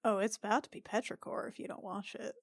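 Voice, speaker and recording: A woman's voice: mid-range voice, steady tone, fast, creaky, no room sound. Woman as a proud, defiant princess, dry close sound. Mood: cool, confrontational. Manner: curt, coolly challenging. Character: proud, defiant young princess standing her ground.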